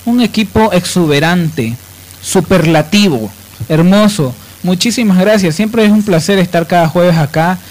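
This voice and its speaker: A man's voice: high-pitched, a little slow, a little mellow, poor audio. A man hosting radio, delivering commentary in a sardonic, emphatic way. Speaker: radio show host.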